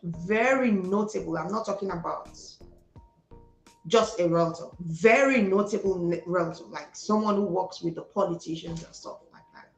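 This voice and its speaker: A woman's voice: fairly low pitch, moderate pace, creaky, reverberant, soft background music, rough recording. A woman with a Nigerian Igbo accent, a psychologist speaking as a guest, giving emphatic motivational advice, repeating words for stress and slipping into Pidgin. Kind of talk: motivational conversation.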